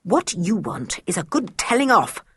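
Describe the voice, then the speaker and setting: A woman's voice: medium pitch, big pitch swings, normal speed, a bit bright, slightly rough, full character voice. Woman reading as a stern male tutor. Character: stern adult male tutor, suspicious and cold.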